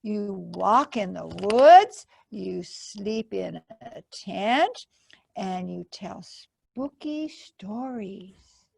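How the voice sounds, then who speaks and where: A woman's voice: mid-range voice, animated, very slow, gravelly, darkish tone, slightly rough, no echo, exaggerated voice. A woman voicing a small, eager, confident female mouse in a children's storybook, encouraging and a little bossy. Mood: eager, persuasive. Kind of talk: storybook character reading.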